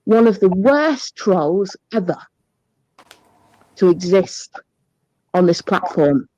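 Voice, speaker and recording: A woman's voice: lowish voice, expressive, slow, warm tone, dry recording, lo-fi sound. A British woman arguing heatedly in a blunt, indignant, foul-mouthed manner. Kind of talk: heated argument.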